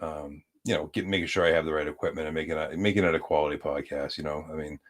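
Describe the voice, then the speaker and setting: Deep-voiced, easygoing American man. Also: fairly even intonation, quick pace, a little hiss or hum. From United States. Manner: easygoing, rambling storyteller. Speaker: podcast guest. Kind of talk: casual chat.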